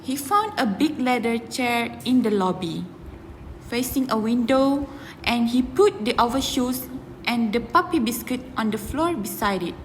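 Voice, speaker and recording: This is a young woman with a slightly high voice. She speaks slowly and hesitantly, like a student giving an informal presentation. Long hall reverb surrounds her. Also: lively intonation. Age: young adult. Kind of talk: informal group presentation discussion. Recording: hall reverb with faint noise.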